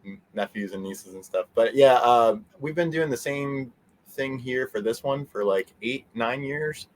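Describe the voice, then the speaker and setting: A man's voice: medium pitch, measured pace, poor audio. Male interview guest, middle-aged. Mid-range voice, easygoing, meandering, conversational delivery. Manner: easygoing, meandering, chuckles often. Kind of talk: casual chat.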